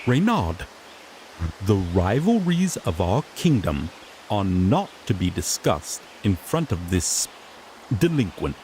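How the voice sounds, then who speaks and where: A sassy griffon knight, acted out by a man, fussy and formal as he lays down the law. His lowish voice moves slowly but swings dramatically up and down. He sounds earnest and a little exasperated, in fantasy audio drama style, over faint ambient noise. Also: big pitch swings, full character voice. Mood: proud, explanatory. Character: sassy griffon knight, earnest rookie lawman making first arrest.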